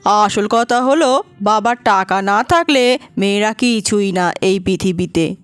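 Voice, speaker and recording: A woman's voice: mid-range voice, unhurried, background noise, lightly acted. A woman voicing a scornful older village mother-in-law in a cartoon, nagging and taunting with sarcastic questions. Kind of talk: cartoon voice acting.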